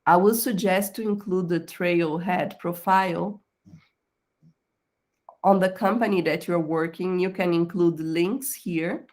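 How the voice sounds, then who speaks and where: Woman career coach, slightly lower voice, patient step-by-step webinar delivery, often checks in with "okay?". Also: fairly even intonation, measured pace, darkish tone. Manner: explanatory, checks in with "okay?", non-native phrasing. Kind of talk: screen-share tutorial on a professional profile.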